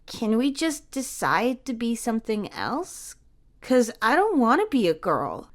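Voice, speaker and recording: Audio story role: woman as small boy, innocent and sad, high voice, stammering and earnest. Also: wildly swooping pitch, measured pace. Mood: curious. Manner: hesitant, stammering.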